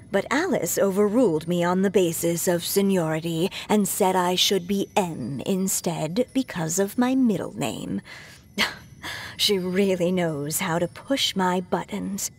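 A woman voicing a wistful, playful adult witch reading her diary in an anime game, soft and husky. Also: lowish voice.

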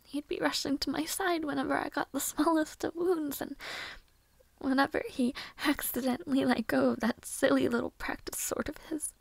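A woman playing a sorrowful, lovelorn young maid in an audio roleplay monologue, soft and breathy, often whispering, with hesitant pauses. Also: high voice, fairly even intonation, no echo. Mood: wistful, longing. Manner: hesitant, slow, emotional pauses.

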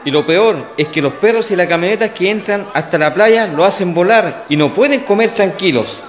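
Earnest, high-voiced man. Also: animated, moderate pace. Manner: earnest, explanatory. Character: serious, civic-minded island man, nature advocate. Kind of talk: radio soap opera acting.

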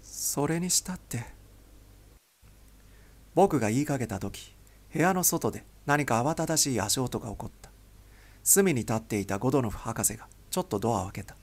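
A man's voice: mid-range voice, animated, brisk. A man reading a novel aloud as a scripted, dramatic audiobook narration.

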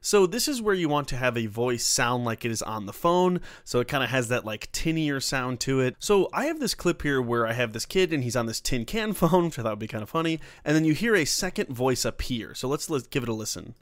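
A man hosting a casual software tutorial, talking informally through each step as he works. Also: medium pitch, lively intonation, brisk, hardly any pauses, no room sound, clean studio sound. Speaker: tutorial host.

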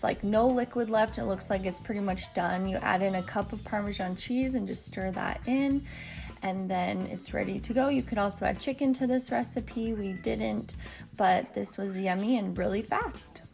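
A woman who hosts a cooking vlog, talking through recipes in a relaxed, chatty voice-over with the odd um. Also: medium pitch, normal speed, vocal fry, faint music behind. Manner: chatty, relaxed step-by-step instructions with ums. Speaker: cooking vlogger. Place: home kitchen.

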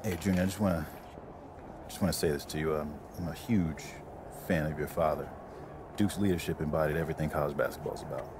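A man's voice: very deep voice, fairly even intonation, fast. A man playing an earnest, motivating college basketball coach in a video game, speaking sincerely. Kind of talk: cinematic game dialogue. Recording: clear ambient noise behind the voice.

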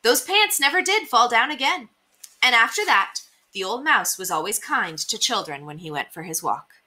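A young woman reading children's stories aloud as an expressive storyteller. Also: fairly high pitch, normal speed. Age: young adult. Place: small indoor room.

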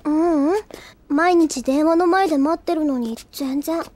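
A woman playing an earnest, shy, soft-hearted teenage schoolgirl in an anime audio drama, sincere, hesitant and reflective. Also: high voice, unhurried. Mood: wistful. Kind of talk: Japanese anime audio drama acting with inner monologue.

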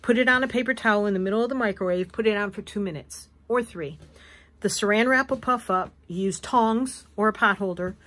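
A woman hosting a craft video, hoarse and wheezy from allergies, chatting casually as she shows fabric at a table. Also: medium pitch, fairly quick, a bit bright. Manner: chatty, rambling, self-correcting. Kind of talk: casual show-and-tell chat. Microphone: camera above a tabletop, speaker off frame.

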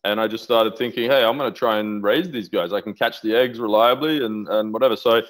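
Middle-aged guy on a headset call, low flat voice, keeps restarting sentences, keen. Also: fairly quick. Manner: enthusiastic, rambling with restarts. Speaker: interview guest, aquaculture researcher. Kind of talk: casual video-call interview. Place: home office with bookshelves. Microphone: headset on video call.

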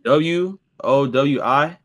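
A man's voice: mid-range voice, very slow, a bit bright, dull audio. A young man in his twenties on a stream call, insisting defensively on his age. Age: 20s. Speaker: stream guest.

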